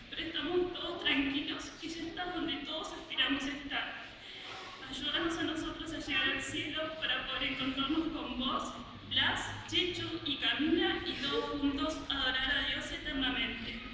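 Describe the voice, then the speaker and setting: Young woman, Argentine Spanish, high voice, steady heartfelt eulogy; long church reverb, distant mic. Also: normal speed. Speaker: granddaughter giving a eulogy. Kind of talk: reading a prepared farewell speech. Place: large church, indoors. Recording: echoey church from far off, with background noise.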